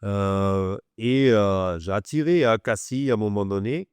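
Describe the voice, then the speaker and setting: Man with a German accent, clear voice. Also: fairly low pitch, unhurried, a little mellow, no echo. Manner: teasing, storytelling. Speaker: chef. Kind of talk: casual chat.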